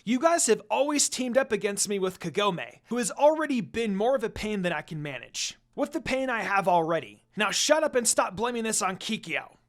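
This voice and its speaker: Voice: medium pitch, sing-song, moderate pace, hardly any pauses, voice pushed up. A narrator voicing a scornful, hot-tempered young half-demon man who scolds and accuses in a fan story. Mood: angry, scornful.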